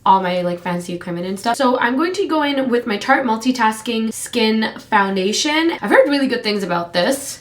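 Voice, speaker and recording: A woman in her twenties from Canada, chatting casually and rambling with lots of fillers while doing her makeup for a vlog. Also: fairly high pitch. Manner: rambling, filler-heavy with frequent "like". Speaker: beauty vlogger. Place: home room.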